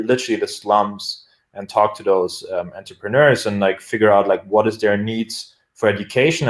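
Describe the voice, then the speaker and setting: Guest: young man with a German accent, low voice, rambling and filler-heavy, echoey room. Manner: rambling, many fillers and restarts. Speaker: guest product manager. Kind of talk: casual interview chat.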